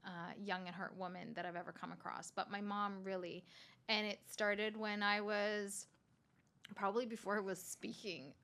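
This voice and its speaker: A woman in her forties, raised in Canada with a Calabrese dialect as her first language, chatting as a guest chef in an animated, laughing way. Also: mid-range voice, moderate pace. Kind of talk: casual podcast interview. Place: hotel room.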